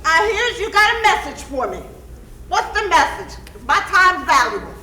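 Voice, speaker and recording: A woman's voice: extremely high pitch, very animated, moderate pace, bright, forceful tone, heavily acted. A woman on stage playing an imperious, impatient plantation mistress with a haughty, commanding manner and folksy Southern phrasing. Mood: menacing. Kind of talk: stage play acting. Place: stage of a theatre or hall. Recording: echoey, noisy stage recording.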